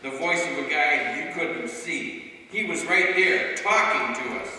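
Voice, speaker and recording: A man plays an anxious petty crook from an old radio crime play. His slangy, pleading voice is very bright and sits a little high. It rings around a big hall, distant from the mic. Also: unhurried. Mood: spooked. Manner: slangy tough-guy talk, pleading and anxious. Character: nervous small-time male crook, scared sidekick to a gangster. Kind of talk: old-time radio crime drama acting. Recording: echoey hall from far off, faint noise.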